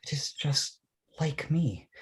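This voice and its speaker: An actor playing an outcast monster, pleading and vengeful, in a dramatic staged reading, halting and intense with a husky voice. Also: mid-range voice, animated, heavily acted. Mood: tender, hopeful. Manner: intense, halting, swinging from whispers to cries.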